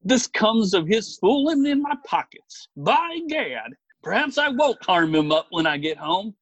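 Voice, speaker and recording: A woman voicing a fussy, excitable man who blusters and mutters as he gets exasperated, in a storybook reading. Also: mid-range voice, measured pace, dry recording, highs cut off, voice pushed down. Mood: exasperated, angry. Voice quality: husky, whining. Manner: blustering, muttering, oath-prone.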